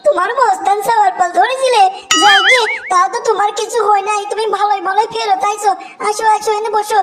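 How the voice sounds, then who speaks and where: Demanding young girlfriend with a Bogura accent; very high and fast. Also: steady tone, heavy background noise. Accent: Bogura dialect of Bangla.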